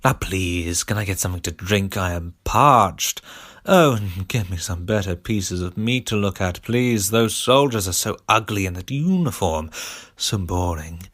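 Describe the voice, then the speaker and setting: A devious alien prisoner, acted by a man in an audio drama. His voice is low, and he delivers flamboyant, sneering complaints with theatrical relish. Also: expressive, a little slow, fairly bright tone, exaggerated voice. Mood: pained, complaining.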